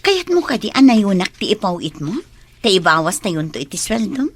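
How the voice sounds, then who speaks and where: A woman's voice: medium pitch, big pitch swings, normal speed. A woman acting a headstrong, lovesick young daughter and boss with a Filipino accent in a radio drama. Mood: coaxing, bossy.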